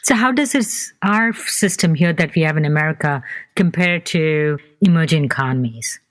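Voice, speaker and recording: A woman's voice: low voice, lively intonation, normal speed. A woman hosting a podcast, asking questions in an inquisitive, affirming way. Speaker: podcast host. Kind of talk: interviewing guest.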